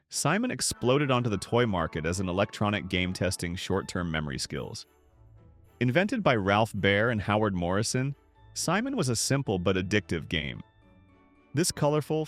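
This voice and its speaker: A man with a fairly low voice delivers scripted narration in an earnest storytelling manner. His intonation swings up and down in an animated way, and music plays softly behind him. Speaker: narrator of a nostalgia video.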